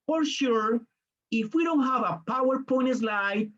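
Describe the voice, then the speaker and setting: A man's voice: extremely high pitch, unhurried, a bit bright, muffled recording. Colombian man leading a teaching workshop. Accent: Colombian Spanish. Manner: explains step by step, briefly voicing students and parents. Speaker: teacher trainer. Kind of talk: online workshop lecture.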